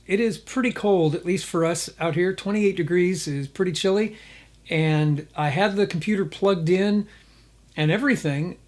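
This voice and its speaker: Male vlog host with a high-pitched voice, conversational, explains things off the cuff to viewers. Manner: thinks aloud, conversational. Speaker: host of a radio hobby video. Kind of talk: casual vlog chat.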